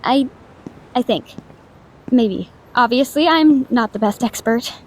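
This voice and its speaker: Woman voicing a high-pitched, stammering, shy ghost girl. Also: slow, low-quality recording. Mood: tentative. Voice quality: soft stammer. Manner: hesitant, apologetic, self-doubting, rambling. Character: shy, awkward, stuttery young female ghost, anxious and kind. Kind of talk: intimate roleplay audio drama.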